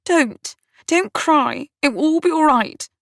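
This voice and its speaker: A woman voicing a fiercely protective, sharp-tempered young woman in an audiobook. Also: medium pitch, steady tone, moderate pace, creaky, slightly rough, dry recording, clean studio sound. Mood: soothing, cooing. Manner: cooing to her brother, snapping at rivals.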